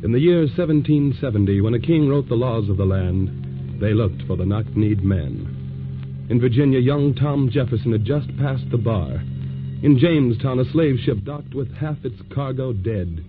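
An actor playing a grave, dramatic storyteller narrating in 1940s radio drama style. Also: male voice, mid-range voice, normal speed, music in the background, close to an everyday voice. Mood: grave, portentous. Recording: muffled vintage radio recording.

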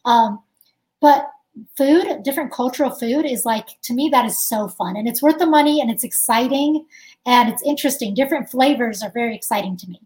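A woman's voice: fairly high pitch, fairly quick, a little mellow, poor audio. A woman guest in an interview, talking casually and chattily, laughing often and saying "like" a lot. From United States. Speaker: guest musician.

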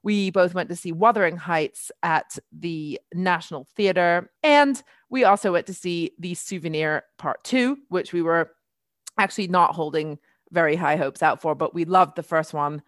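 A woman's voice: lowish voice, normal speed, dry recording, studio quality. A middle-aged British woman, chatty and articulate, hosting a casual discussion about culture. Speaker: podcast host, columnist and historian.

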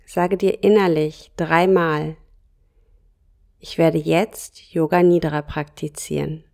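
Slow, calm woman guiding yoga nidra. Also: low voice, gravelly. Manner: slow, soft and calm, with pauses between short phrases. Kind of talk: guided relaxation meditation.

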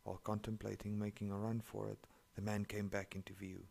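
Gravelly low-voiced man. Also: little pitch movement, a little fast, darkish tone. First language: not English. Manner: sarcastic, profane running commentary. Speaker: story reader. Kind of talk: reading online stories aloud with commentary.

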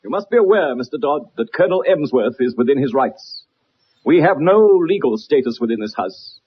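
An actor playing a keen, self-assured gentleman detective with precise, formal speech in a 1960s radio drama. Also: medium pitch, animated, fairly quick, slight background noise, lightly acted. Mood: firm, composed. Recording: muffled old radio recording.

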